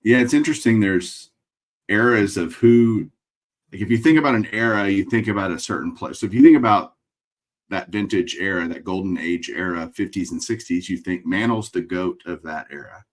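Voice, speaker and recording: A middle-aged man with a Texas accent and a very low voice, speaking over a webcam from a room lined with framed memorabilia. He talks in a relaxed way, with dry jokes, and thinks out loud as he answers. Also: normal speed. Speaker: guest collector. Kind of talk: casual interview over video call. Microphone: webcam video call.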